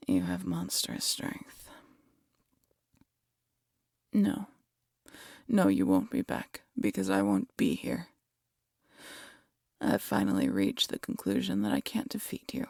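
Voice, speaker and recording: A woman playing a proud, vengeful demon queen in an audio roleplay, theatrical and menacing, often dropping to a breathy hush. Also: rumbling low, sing-song, slow pace, pauses often, dry recording, studio quality, exaggerated voice. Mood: bitter resignation. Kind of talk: scripted audio roleplay addressed to listener.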